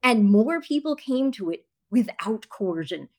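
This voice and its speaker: A woman's voice: medium pitch, animated, measured pace, darkish tone. A woman interview guest debating and explaining her position in an insistent, argumentative manner. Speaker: guest, author and journalist.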